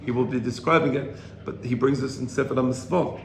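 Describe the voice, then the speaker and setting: A slightly hoarse man giving an informal religious lecture to a study group, explaining and checking in with rhetorical questions. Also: medium pitch, steady tone, brisk. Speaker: Torah teacher. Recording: roomy echo, faint background noise.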